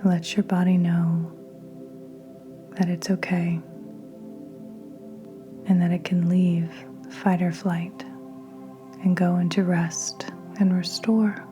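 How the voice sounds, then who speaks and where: A woman guiding a meditation in a soft, breathy near-whisper, gentle and soothing, with long unhurried pauses. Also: fairly low pitch, flat delivery. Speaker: podcast host and meditation guide. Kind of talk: guided meditation narration. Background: soft meditation music with singing bowls.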